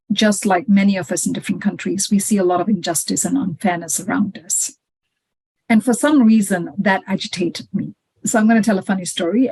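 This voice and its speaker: A woman's voice: mid-range voice, a little mellow, poor audio. Middle-aged woman from malaysia, guest on a podcast, thoughtful storyteller, lots of you-knows. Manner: reflective, frequent "you know" fillers. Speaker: podcast guest, head of legal. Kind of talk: conversational storytelling.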